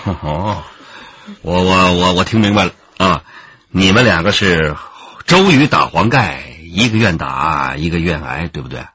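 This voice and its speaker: Shrewd, husky police inspector. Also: male voice, deep voice, animated, unhurried, sharp tone, no room sound, dull audio, full character voice. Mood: wry. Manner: probing, insistent, wry. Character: shrewd, authoritative male police detective inspector. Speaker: actor in a police radio drama. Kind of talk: Chinese radio drama acting.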